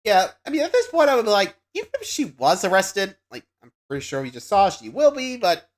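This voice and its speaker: Woman with wildly animated, incredulous delivery. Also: medium pitch, brisk. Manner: incredulous, slangy interjections. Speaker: reaction video host. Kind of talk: casual running commentary on a video.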